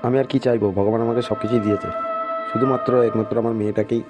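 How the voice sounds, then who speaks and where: A man's voice: fairly low pitch, level tone, very fast, natural voice. A man voicing a worried, middle-aged village priest and protective father in a cartoon comedy, plaintive and earnest. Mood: worried, pleading. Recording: loud music behind the voice.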